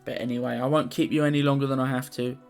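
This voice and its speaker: A young man, slightly hoarse after the flu, narrating a game challenge with jokey, self-deprecating commentary. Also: mid-range voice, flat delivery, fast talker, faint music behind. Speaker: gaming YouTuber.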